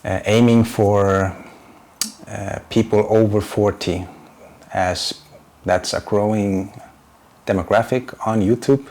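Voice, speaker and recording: Slow, hesitant Icelandic-accented man. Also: lowish voice, fairly even intonation, a little mellow. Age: 40s. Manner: hesitant, searching for words, many filler words. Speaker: YouTuber and graphic designer. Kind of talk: casual unscripted vlog talk.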